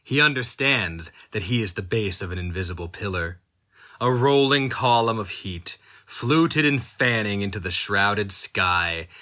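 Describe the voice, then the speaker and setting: Guy doing a dramatic story reading, lowish voice, tense and sharp, takes his time. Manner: slow, intense delivery with sudden high, strained outcries. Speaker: narrator. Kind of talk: dramatic reading of dark fantasy fiction.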